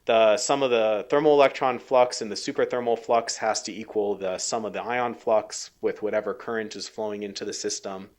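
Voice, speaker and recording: A man giving a technical research lecture, halting and full of ums and restarts, his voice a little worn. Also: on the low side, steady tone, darkish tone. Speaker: scientist giving a research talk.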